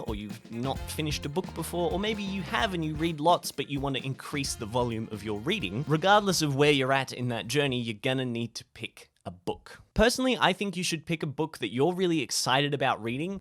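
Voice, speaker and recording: A man's voice: mid-range voice, fairly bright tone, no echo, clean studio sound. A young man giving casual advice in a vlog, with a wry, self-deprecating manner. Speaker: video host.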